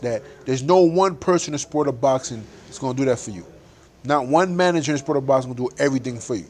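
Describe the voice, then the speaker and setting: A man's voice: mid-range voice, darkish tone. A young adult man, a boxer, talking animatedly and emphatically in a casual interview, laughing often.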